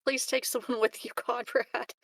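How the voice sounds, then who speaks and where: A woman's voice: a little high, little pitch movement, normal speed, fairly bright tone. A woman playing a tabletop RPG, chatting casually with the group. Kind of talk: casual game table talk.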